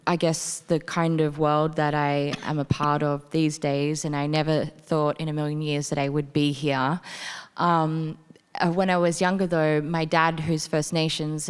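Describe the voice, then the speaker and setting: Low-voiced Australian woman, hesitant. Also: clean tone. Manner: halting, many "uh" and "um" pauses. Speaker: panelist. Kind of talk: conference panel introduction. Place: large conference hall. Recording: long hall reverb.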